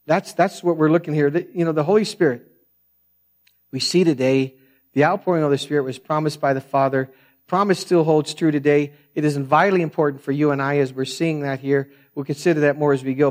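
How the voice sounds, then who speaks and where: Soft-voiced preacher, fairly high. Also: male voice. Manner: earnest, conversational storytelling with frequent restarts. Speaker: pastor. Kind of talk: sermon.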